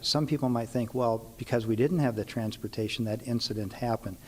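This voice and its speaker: A man's voice: medium pitch, brisk, darkish tone. A man speaking as a city council member, answering in an interview.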